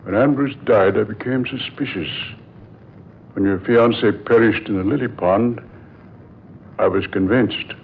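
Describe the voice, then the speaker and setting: An actor playing a grave doctor who calmly unveils a deadly secret in an old-time radio drama, with measured, deliberate storytelling. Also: male voice, fairly low pitch, vocal fry. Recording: muffled, noisy old radio recording.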